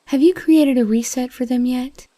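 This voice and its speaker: Woman acting a shrewd calm lady negotiator in an audio drama, mellow voice, sure of herself. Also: high-pitched, moderate pace. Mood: brisk, assured. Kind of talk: scripted audio drama dialogue.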